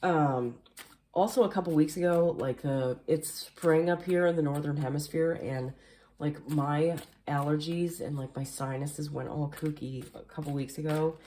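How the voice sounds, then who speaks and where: A woman giving a casual tarot card reading, chatty and rambling with lots of fillers, a little nasal and hoarse from allergies. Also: low-pitched, mellow, slight background noise. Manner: chatty, rambling with many fillers, occasional coughs. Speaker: tarot reader. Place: indoors at a table at home. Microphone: camera facing the table, speaker mostly off screen.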